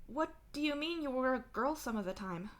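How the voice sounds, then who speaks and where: A woman's voice: fairly high pitch, normal speed, a bit bright, voice pushed up, close to an everyday voice. A woman voicing an anxious, defensive troll protagonist in a story, protesting and pleading in a small voice. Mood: small, insistent.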